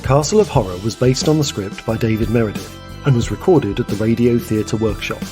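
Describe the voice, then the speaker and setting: Guy reading out show credits, normal pitch, music clearly playing behind him. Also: brisk. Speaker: credits announcer. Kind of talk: scripted narration. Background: music, guitar.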